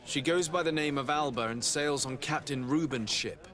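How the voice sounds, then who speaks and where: A man's voice: on the high side, moderate pace, fairly bright tone, natural voice. An actor voicing a composed, courteous nobleman diplomat in a video game, polite and formal.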